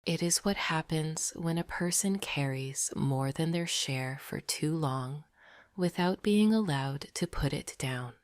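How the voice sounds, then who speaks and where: A woman narrating a scripted sleep meditation in a soft, breathy near-whisper, gentle and deliberate. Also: sits low, no echo, clean studio sound.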